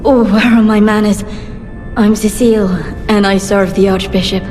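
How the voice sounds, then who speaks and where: An actress playing a gentle, devout, grieving servant of the church in a video game, solemn and measured. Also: female voice, mid-range voice, steady tone, natural voice. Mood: sorrowful, pleading. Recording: long hall reverb, faint music.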